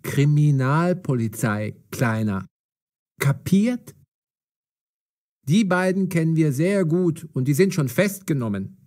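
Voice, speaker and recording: A man's voice: a little high, darkish tone. Male police detective, stern, mocking, commanding, fair, audio drama delivery. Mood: mocking, bossy. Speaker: voice actor. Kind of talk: audio drama for language learners.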